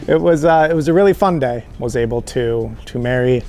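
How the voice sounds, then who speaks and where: Relaxed friendly man answering interview questions. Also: medium pitch, brisk. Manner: friendly, relaxed, frequent ums and light laughs. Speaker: healthcare recruiter, interview guest.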